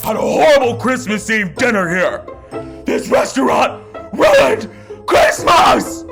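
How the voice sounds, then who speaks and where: Man acting an outraged, shrill, melodramatic diner. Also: big pitch swings, slow, gravelly, fairly bright tone, soft background music, heavily acted. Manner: overwrought, theatrical. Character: outraged, melodramatic diner reading a bad review. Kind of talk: mock dramatic reading.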